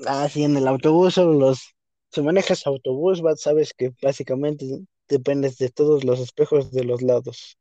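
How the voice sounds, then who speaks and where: A man's voice: mid-range voice, steady tone, normal speed, warm tone, dry recording, lo-fi sound. A young man, co-hosting a podcast in a rambling, casual way and leaning on the same filler word. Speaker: podcast co-host. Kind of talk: casual chat.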